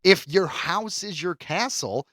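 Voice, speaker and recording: A man's voice: high voice, lively intonation, slow, a bit bright, no echo, studio quality. A man co-hosting a podcast in casual, jokey chat, telling things with theatrical flair. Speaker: podcast co-host.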